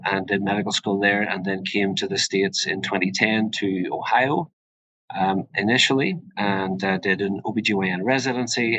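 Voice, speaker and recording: A middle-aged Northern Irish man presents a medical webinar in a conversational, somewhat hesitant way. His voice sits on the low side but has a bright, forward edge. He speaks informally, like a doctor explaining things to the public. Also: no echo, highs cut off. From Northern Ireland. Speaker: presenter, urogynecologist.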